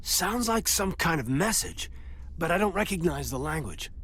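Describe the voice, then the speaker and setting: An actor playing a wary, blunt alien squadmate in video game dialogue. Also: male voice, on the high side, very animated, normal speed. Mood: wary, tense. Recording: noisy game ambience.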